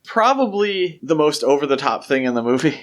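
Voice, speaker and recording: A man's voice: fairly high pitch, very animated, fairly quick. Casual male podcast co-host talking film.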